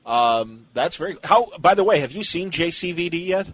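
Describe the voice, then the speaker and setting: A man's voice: a little high, expressive, normal speed, a bit bright, husky. A middle-aged man hosting a casual conversational interview in an agreeable, chatty way. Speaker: podcast host, interviewer.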